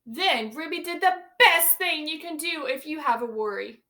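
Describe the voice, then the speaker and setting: A woman's voice: high-pitched, expressive, normal speed, bright, forceful tone. A woman teacher reading a picture book aloud to young children, stopping to ask them questions and explain words.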